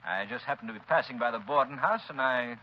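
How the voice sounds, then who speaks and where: A man's voice: medium pitch, quick pace. A man acting in a 1930s radio drama with a suave, urbane manner. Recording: dull old radio recording, faint hiss.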